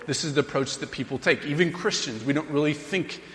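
A man in his thirties preaching a sermon as pastor, earnest and emphatic, slowing down to read scripture aloud. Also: a little high, fairly bright tone. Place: church sanctuary. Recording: long reverb of a large hall.

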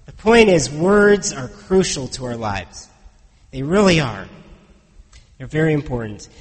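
A pastor preaching a conversational sermon in a church, hoarse and congested from a head cold, often asking the congregation questions. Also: male voice, high-pitched, long reverb tail, poor audio.

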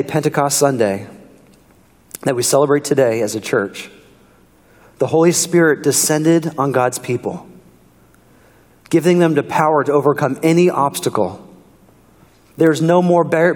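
A male pastor preaches in a relaxed, conversational way, his voice slightly worn from singing. His voice sits a little high for a man, and it rings out with a long reverb through the church hall. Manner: casual, conversational preaching with fillers. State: strained voice from singing. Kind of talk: sermon. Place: church sanctuary.

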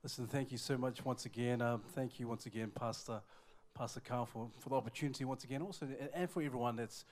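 A man from New Zealand with a New Zealand accent addresses a church congregation as a visiting evangelist. His talk is lively and stumbling, full of repeated words and shouts of praise. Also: medium pitch, fast talker, a little hiss or hum. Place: church service.

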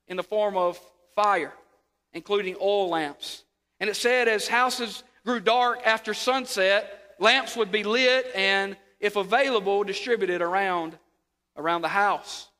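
A man preaching a sermon, sniffly from a sore throat, repeating words and calling for amens. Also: sits high. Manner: repeats words, rises to near shouts, calls for amens. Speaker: pastor. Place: church sanctuary. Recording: long hall reverb.

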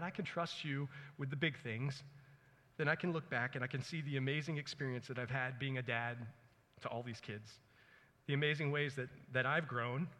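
Bright-voiced man preaching in a reverberant hall. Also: medium pitch. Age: 40s. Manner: earnest, conversational, dramatizes his own thoughts. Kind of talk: sermon with personal stories. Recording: echoey hall with long reverb.

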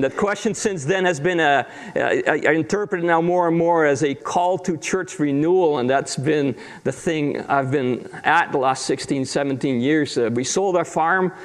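A man who grew up in the Netherlands and speaks Dutch as his first language, preaching as a guest pastor in an easy, chatty way with self-deprecating jokes. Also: a little high. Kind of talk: sermon.

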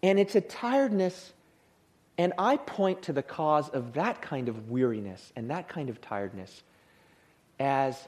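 A male pastor giving a sermon in church, with a soothing voice and a humorous, lively manner of delivery. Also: medium pitch, a little slow. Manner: lively, humorous, acts out tired people.

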